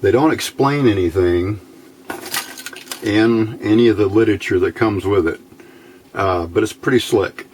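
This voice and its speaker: A man's voice: on the low side, measured pace, long pauses, darkish tone, slight background noise. A man giving a casual hands-on demonstration as an electronics hobbyist, talking in a folksy, informal, rambling way.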